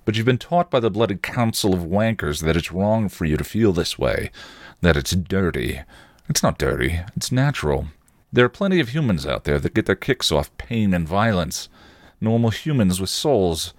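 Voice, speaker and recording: Man voicing a cocky, goading vampire, animated delivery. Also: sits low, normal speed, no echo, studio quality. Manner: provocative, insistent, goading. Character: cocky, taunting, passionate male vampire with British slang.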